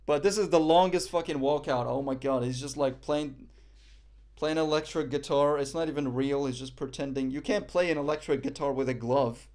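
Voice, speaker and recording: A man's voice: medium pitch, moderate pace, darkish tone. A young man from Morocco, casually commenting on a boxing event on a live stream, rambling and joking with frequent swearing and fillers.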